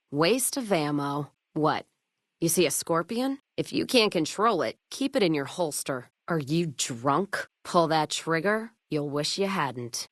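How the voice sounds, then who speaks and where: Hard-bitten frontier caravan woman, low pitch, American accent, sardonic folksy slang, foul-mouthed, dry studio sound, video game character. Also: no room sound.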